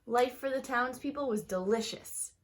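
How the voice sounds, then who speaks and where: A woman reading a children's storybook aloud like a teacher, with expressive, emphatic phrasing aimed at young listeners. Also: on the high side, normal speed. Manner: talks directly to young listeners.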